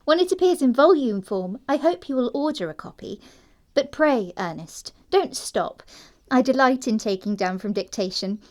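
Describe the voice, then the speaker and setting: A woman's voice: high voice, animated, moderate pace, darkish tone. A woman playing a prim, dreamy, self-assured young society girl with a precise, airy delivery in an audio production of a classic comedy. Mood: prim, eager.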